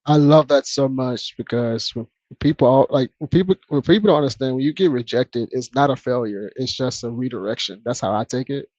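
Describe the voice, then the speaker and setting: A man speaking softly in a relaxed, low-key way as a guest in a casual podcast chat. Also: a little fast, few pauses, no echo, muffled recording. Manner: low-key, laid-back and soft-spoken.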